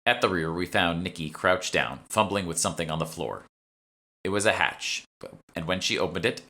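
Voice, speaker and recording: A man's voice: sits low, bright, forceful tone. A man reading scripted first-person audiobook narration as the author.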